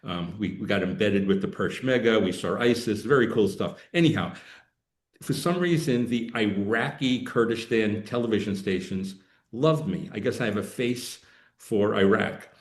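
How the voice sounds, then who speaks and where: Low-voiced man in an echoey room, halting. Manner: halting, rambling, anecdotal. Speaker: podcast guest, political analyst. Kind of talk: casual interview talk. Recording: echoey, roomy sound.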